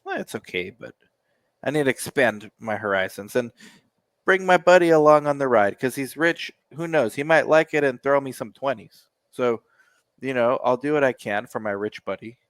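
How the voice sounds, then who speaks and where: A man's voice: mid-range voice, normal speed, a bit bright, clean tone, dry recording. A man, co-hosting a casual music reaction show, joking and sarcastic as he rambles. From United States.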